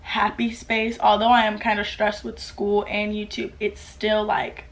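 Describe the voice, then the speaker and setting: A woman's voice: mid-range voice, monotone, a little slow, low-quality recording. A woman in her late teens, from the US, answering viewer questions in a casual, upbeat vlog, full of "like" fillers. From United States. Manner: chatty, upbeat, lots of "like" fillers. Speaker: YouTuber. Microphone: camera mic with ring light.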